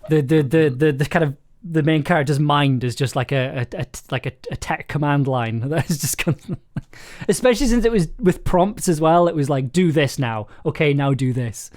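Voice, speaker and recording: A man hosting a casual script discussion, thinking aloud in a halting way and repeating words as he explains. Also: on the high side, darkish tone. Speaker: audio drama writer and director hosting a livestream.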